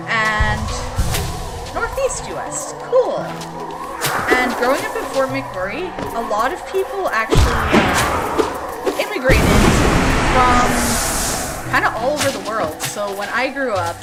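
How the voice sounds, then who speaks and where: A woman's voice: a little high, big pitch swings, slow. A woman in her thirties from Canada, chatting casually with her stream viewers in a rambling way, full of fillers. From Canada (Alberta). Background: loud background music.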